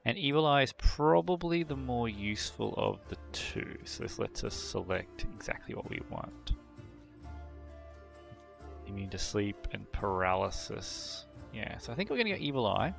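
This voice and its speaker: A man hosting a game video, thinking aloud through character choices with frequent fillers and throat clearing. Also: lowish voice, very animated, moderate pace, music in the background. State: voice a bit worn from a long previous session. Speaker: gameplay channel host. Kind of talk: casual gameplay commentary.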